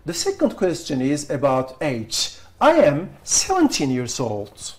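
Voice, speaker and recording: A woman's voice: sits low, wildly swooping pitch, unhurried, darkish tone. A woman teacher, a native Turkish speaker, leading an English lesson with prompting questions. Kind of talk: classroom role-play lesson.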